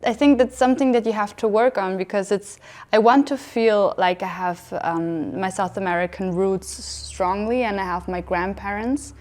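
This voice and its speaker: A young woman from Austria, a talk show guest who tells personal stories in an animated way. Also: medium pitch, normal speed. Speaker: panel guest. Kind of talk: studio panel talk.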